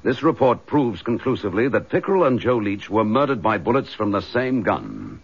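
Weary, low-voiced British police inspector. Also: male voice, very animated, moderate pace, gravelly, husky, full character voice. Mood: insistent. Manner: hesitant, understated, wry. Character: weary, dogged male police chief inspector, dryly affable. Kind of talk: naturalistic radio drama acting. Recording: dull, lo-fi radio recording.

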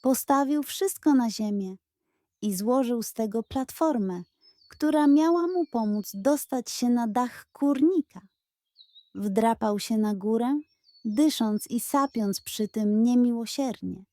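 A woman narrating a scripted bedtime story for children in an expressive, playful way. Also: on the high side, slow pace, no echo.

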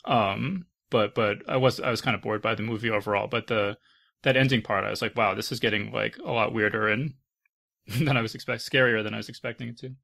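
A man's voice: fairly low pitch, fairly even intonation, a little fast, vocal fry, sharp tone. A man hosting a casual podcast panel, speaking in an informal, hesitant way. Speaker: podcast host.

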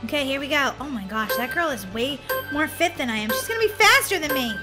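The woman you'd hear on a kids' gaming channel, girlish and dramatic, her high voice leaping about as she reacts to every move of the game. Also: expressive, quick pace, over music. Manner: exclamatory, squealing, dramatic, girlish. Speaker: gaming video host. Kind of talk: excitable game commentary.

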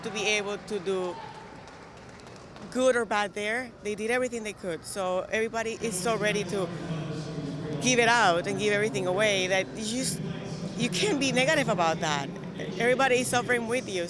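Energetic young woman with a Colombian accent. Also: medium pitch, a little fast, over a noisy crowd. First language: Spanish. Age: young adult. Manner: upbeat, energetic. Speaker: bikini competitor interviewee. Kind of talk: casual interview chat. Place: large exhibition hall, indoors. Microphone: handheld interview microphone. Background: music.

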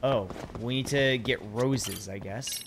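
Hoarse man streaming a video game. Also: mid-range voice, takes it slowly, background noise. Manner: reads game subtitles aloud, swears casually. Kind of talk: casual gameplay commentary. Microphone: headset or desk mic, webcam.

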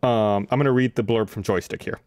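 A man's voice: on the low side, fairly even intonation, a little fast, darkish tone, dry recording. A man in his thirties hosting a casual panel discussion on a podcast, speaking close to a studio microphone. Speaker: podcast host. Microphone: close studio microphone on desk.

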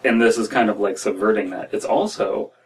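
American male podcast host, young, lowish voice, loose conversational riffing, mildly distant mic. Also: a little fast. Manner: rambling, peppered with "like" and "you know". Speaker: podcast host commenting on stories. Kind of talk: casual off-the-cuff commentary. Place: small room at home, indoors. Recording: distant mic with room noise.

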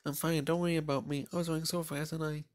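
Man putting on a shy high-pitched schoolgirl. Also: level tone, fast, natural voice. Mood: flustered, apologetic. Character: shy, clumsy, apologetic anime schoolgirl.

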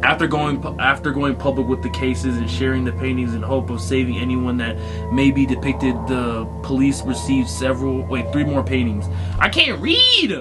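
A young American man reacting to a video in casual slang, excitable and animated, sometimes reading text aloud. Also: mid-range voice, moderate pace. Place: indoor video set with green screen. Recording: music behind the voice. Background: music.

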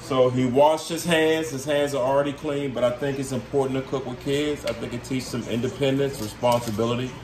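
A man's voice: medium pitch, fairly even intonation, a little fast, few pauses. A middle-aged man casually coaching someone through cooking, with slangy, teasing talk. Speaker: uncle teaching his nephew to cook. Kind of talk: casual instructional home cooking video. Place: home kitchen, indoors. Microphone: camera set a few feet away. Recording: roomy, distant mic, loud sizzling noise. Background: frying sizzle, running water.

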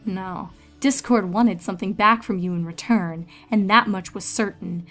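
A woman's voice: mid-range voice, normal speed, fairly bright tone, soft background music. A woman narrator reading a story aloud in a scripted, dramatic storytelling style.

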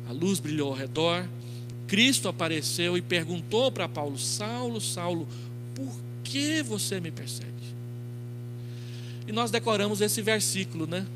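A man's voice: high-pitched, animated, a little slow. A middle-aged man with a Brazilian accent preaching an expository sermon into a handheld microphone, telling stories with quoted dialogue and rhetorical questions. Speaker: pastor. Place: church stage, indoors. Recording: clearly noisy with mains hum.

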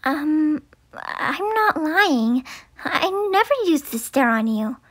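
A woman's voice: high voice, very animated, a bit bright, full character voice. A woman voicing a timid, sweet young female cartoon pony who talks hesitantly, with music underneath. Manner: hesitant, halting. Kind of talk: cartoon comic dub.

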